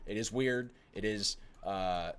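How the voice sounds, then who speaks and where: A man's voice: on the low side, takes it slowly, clear, resonant tone. A young man talking casually while playing a game, rambling and apologetic, with lots of fillers and restarts and a slightly whiny tone. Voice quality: self-described whiny voice. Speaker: gaming video creator.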